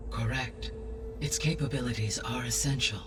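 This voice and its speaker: A man plays a grave, courteous artificial intelligence in a video game cutscene. The speech is formal and precise, sounding a little away from the mic, with music clearly underneath. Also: medium pitch, normal speed, distant mic, lightly acted.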